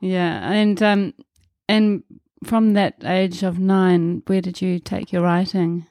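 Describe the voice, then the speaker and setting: A woman's voice: lowish voice, fairly even intonation, slow pace, darkish tone, no room sound, studio quality. A New woman interviewing a guest, encouraging her with short affirmations and questions. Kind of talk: casual interview chat.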